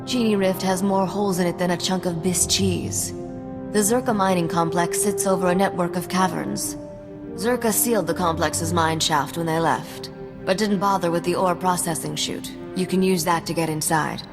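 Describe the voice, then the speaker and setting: Shrewd woman mechanic for a space smuggler game, played by an actress: dry, wry, lowish voice, music underneath. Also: steady tone, moderate pace, long reverb tail, natural voice. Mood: cool, focused. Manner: dry, sardonic, self-assured. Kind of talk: video game cutscene acting.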